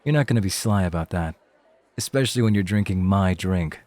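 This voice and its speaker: A man's voice: low voice, lively intonation, a little fast, darkish tone. A man playing a young rookie club host in an ASMR roleplay, casual and cheeky, with bravado over self-doubt. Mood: cheeky, bold.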